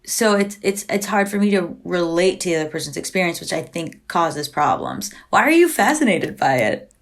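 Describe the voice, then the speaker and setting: A woman chatting openly as a guest, her voice sitting a little low for a woman. She talks in loose, restarting bursts packed with "like," playing out quoted exchanges and breaking into laughter often. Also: very animated, moderate pace. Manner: chatty, heavy use of "like", acts out quoted exchanges. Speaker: podcast guest. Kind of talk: candid conversational interview.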